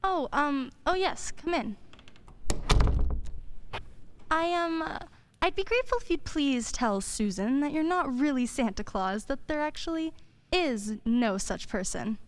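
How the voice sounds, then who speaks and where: A woman's voice: sits high, animated, normal speed. A woman playing a sensible, guarded working mother in a radio play, with an earnest, proper and firm manner. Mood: flustered, insistent.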